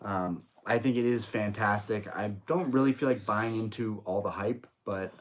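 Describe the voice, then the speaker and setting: A man's voice: on the low side, moderate pace, slight background noise. Rambling young man on a live stream. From United States. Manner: chatty, rambling, with many fillers and restarts. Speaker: streamer, host of a card-collecting channel. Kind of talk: casual live stream chat. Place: home room.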